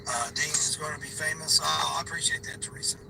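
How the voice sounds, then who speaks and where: A man's voice: on the high side, quick pace, gravelly, over music, rough recording. A man livestreaming from his parked car between deliveries, chatting and laughing as he reads viewer comments aloud. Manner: chatty, rambling, laughs often. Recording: car ambience hum behind voice.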